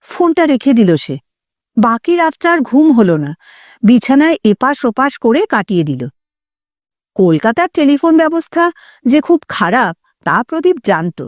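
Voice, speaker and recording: High-pitched, expressive woman narrating a story. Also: a little slow, long pauses, dry recording, studio quality. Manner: expressive, suspenseful. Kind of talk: dramatic scripted narration.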